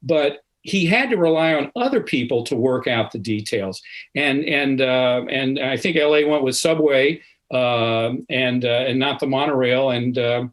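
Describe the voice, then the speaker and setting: An older man speaking as an academic panelist on a video call, explaining in an emphatic storytelling way. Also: medium pitch. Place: indoor home study, video call.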